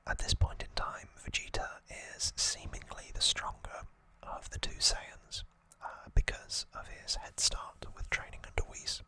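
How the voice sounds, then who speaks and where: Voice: measured pace, hardly any pauses, bright, forceful tone, low-quality recording. An ASMR host whispering an unscripted story recap in a hesitant, slightly wry way.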